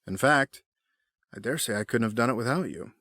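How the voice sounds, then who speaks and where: A man's voice: medium pitch, a little fast, sharp tone, no echo, clean studio sound. A man playing a smug, powerful middle-aged villain in an audio drama, suave, formal and condescending. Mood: smug, taunting.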